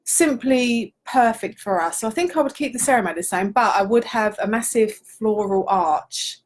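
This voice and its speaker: A woman's voice: a little high, normal speed, slight background noise. A woman co-hosting a casual question-and-answer chat as a wedding photographer, chatty and giggly in manner.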